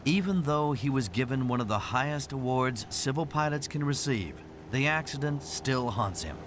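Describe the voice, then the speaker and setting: A man's voice: medium pitch, animated, normal speed, a bit bright, a little hiss or hum. A man reading scripted, dramatic narration as the narrator of a documentary.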